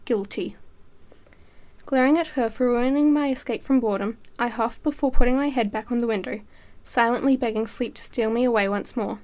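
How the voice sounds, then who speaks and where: A woman's voice: on the high side, fairly quick, darkish tone. A teenage girl giving a dramatic reading of a first-person story as a student writer.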